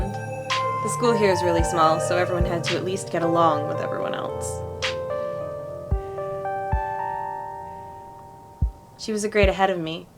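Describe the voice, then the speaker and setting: A woman's voice: lowish voice, natural voice. A woman voicing a friendly, chatty, playful young woman from a small town in a visual novel fan dub. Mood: fond, reflective.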